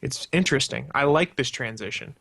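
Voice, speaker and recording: A man's voice: mid-range voice, animated, a little fast, gravelly, a bit bright, dry recording. A man co-hosting a podcast, talking casually about a television show. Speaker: podcast co-host. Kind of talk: casual TV recap chat.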